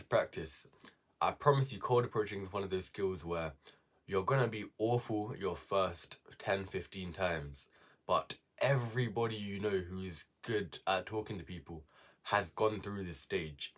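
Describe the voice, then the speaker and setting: Very deep-voiced young man giving casual advice. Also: moderate pace, a bit bright. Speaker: self-improvement vlogger. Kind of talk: casual advice talk to viewers.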